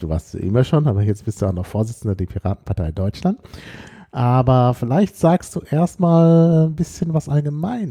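A man hosting a podcast, chatty and encouraging, filling pauses with murmured agreement. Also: mid-range voice, warm tone. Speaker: podcast host. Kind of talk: casual podcast interview.

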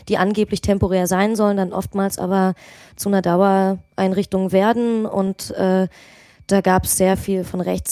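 A woman's voice: medium pitch, mellow, dry recording, studio quality. A woman from Germany, a local politician, talking on a podcast in a thoughtful way with many hesitations. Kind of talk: casual podcast conversation.

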